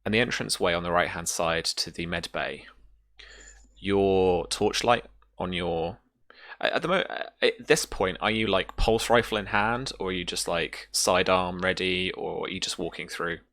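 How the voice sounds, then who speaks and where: A man narrating a tabletop role-playing game as game master in an improvised, halting way, pausing to find his words. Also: low voice, gravelly, a bit bright.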